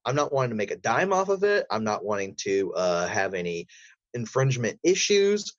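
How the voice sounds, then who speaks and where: A man's voice: medium pitch, very animated, moderate pace, no echo. Guy guest on an interview, rambly long answers, dry muffled lo-fi mic. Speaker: voice actor and audio drama creator, interview guest. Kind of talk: interview conversation.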